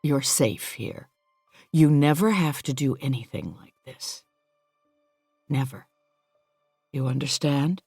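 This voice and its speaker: A woman voicing a kind, firm middle-aged male boss who speaks in a gentle, reassuring, fatherly way. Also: very deep voice, slow pace, pauses often, husky, dry recording, studio quality, faint music behind, full character voice. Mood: soothing.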